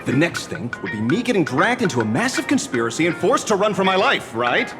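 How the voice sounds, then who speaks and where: Broke sleepy detective in a video game, dry and cynical, highish voice that swings around, echoey w music. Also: male voice, big pitch swings, fairly quick. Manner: dry, dramatic, wryly cynical.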